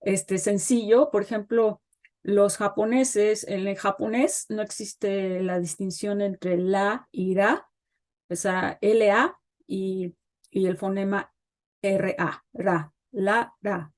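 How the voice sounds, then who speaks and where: Woman explaining with many pauses. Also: medium pitch, fairly even intonation, darkish tone. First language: Spanish. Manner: thoughtful, pauses with frequent fillers. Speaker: guest expert on child development. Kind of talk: explanatory interview talk.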